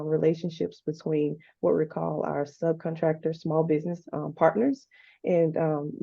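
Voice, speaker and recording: A woman's voice: low voice, gravelly, dark tone, no room sound. A woman program manager introducing herself upbeat on a video call, with frequent ums. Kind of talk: webinar self-introduction. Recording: dull, dry call audio.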